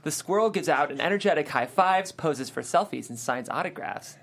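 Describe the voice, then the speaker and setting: Narrator for screenplay table read: animated storyteller, medium pitch, very lively intonation. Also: brisk. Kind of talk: reading script stage directions aloud.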